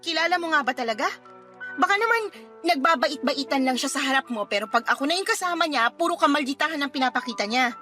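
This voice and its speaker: A woman's voice: high voice, expressive, fast, pushed and bright, faint music behind. A woman playing an indignant, defensive girlfriend in a Filipino radio drama, with emphatic, sarcastic retorts and a Filipino accent. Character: indignant girlfriend, defensive, sarcastic, hot-tempered older sister type.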